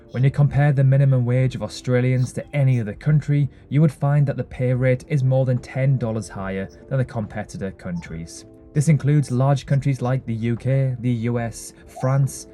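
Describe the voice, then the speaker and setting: A man's voice: mid-range voice, warm tone. British man reading a scripted countdown. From Britain. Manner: measured, list-reading delivery. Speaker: video narrator. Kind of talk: scripted narration.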